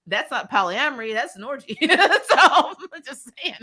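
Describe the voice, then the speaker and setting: A woman hosting a casual fan talk, blunt and emphatic, lightly swearing and quick to laugh. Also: high-pitched, big pitch swings, pushed and bright. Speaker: host of a fan review show. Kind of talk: casual chat, answering viewer comments.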